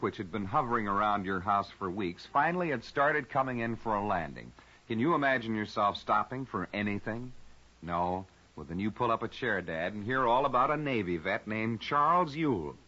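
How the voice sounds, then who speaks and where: An American man hosts a 1950s radio spot with folksy, dramatic direct address. His voice is slightly low and husky, with big swings in pitch. The old recording sounds somewhat dull. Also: bright, forceful tone. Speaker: radio host and sponsor announcer. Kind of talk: scripted 1950s radio narration and sponsor pitch.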